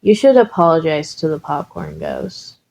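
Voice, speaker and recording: Woman voicing a deadpan, drowsy teenage boy. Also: moderate pace, vocal fry, heavily acted. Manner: deadpan, low-key, murmuring.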